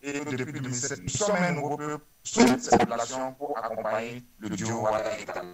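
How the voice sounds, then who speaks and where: A man with a Beninese French accent answers questions as a party politician. His voice sits at a medium pitch. He speaks earnestly, building his points through rhetorical repetition. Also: a little fast, a loud crowd. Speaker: ruling-party politician interviewed by phone. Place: telephone call.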